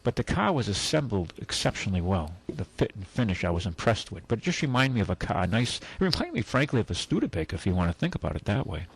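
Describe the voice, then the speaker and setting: A man's voice: on the low side, sing-song, fast talker, vocal fry, few pauses, husky, low-quality recording. A man hosting a call-in car radio show, talking casually and rambling with many fillers and restarts.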